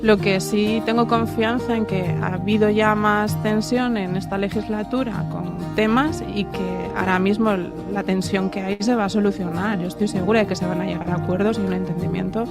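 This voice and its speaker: A woman's voice: mid-range voice, fairly even intonation, normal speed, few pauses, loud music behind the voice. A woman in her fifties from Madrid, answering interview questions as a political candidate, often repeating words and restarting her phrases. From Madrid, Spain. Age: 50s.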